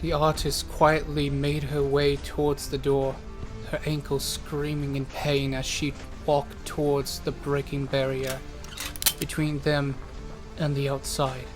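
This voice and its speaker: A man's voice: on the high side, completely flat delivery, measured pace, over music. A man delivering scripted narration for a fantasy audio drama.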